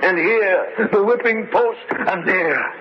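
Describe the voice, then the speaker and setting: An actor playing a theatrical villainous baron in a campy horror radio drama, mock-genial and florid, given to cackling. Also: male voice, sits high, takes it slowly. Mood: gleeful nostalgia. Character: gloating, theatrical villainous male baron with supernatural willpower. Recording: dull, faintly noisy old radio recording.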